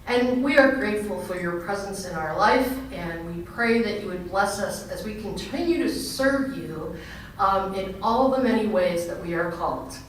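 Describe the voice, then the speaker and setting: A woman's voice: medium pitch, moderate pace. A woman pastor with a big, projecting voice, speaking to a gathering. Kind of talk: informal remarks and spoken prayer to a gathering. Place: large echoing indoor banquet venue. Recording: very echoey hall, distant mic, faint noise.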